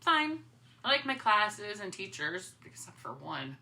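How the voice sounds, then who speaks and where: Animated, skeptical teenage girl played by a woman. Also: mid-range voice, measured pace, full character voice. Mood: dry, skeptical. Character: skeptical, sarcastic teenage schoolgirl who trusts proof. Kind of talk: dramatic comic reading.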